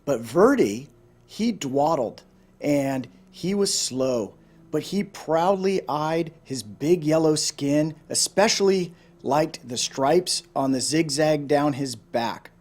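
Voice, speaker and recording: Higher-pitched man reading a children's storybook. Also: expressive, slow, a little mellow. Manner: informal, addressing listeners directly. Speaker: volunteer storyteller.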